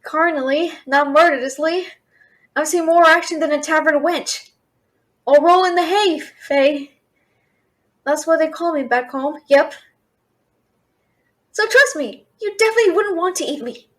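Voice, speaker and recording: A woman playing a brash, fast-talking young female thief and failed alchemist in a fantasy audio roleplay, chattering with sarcastic, exasperated bluster. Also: high-pitched. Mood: nervous bragging. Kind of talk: fantasy audio roleplay, addressing an unseen listener.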